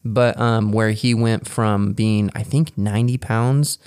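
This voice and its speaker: A man's voice: fairly low pitch, steady tone, a little slow, darkish tone, clear, resonant tone, no echo, studio quality. A man co-hosting a casual podcast, talking enthusiastically and rambling with many fillers and restarts. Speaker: podcast co-host.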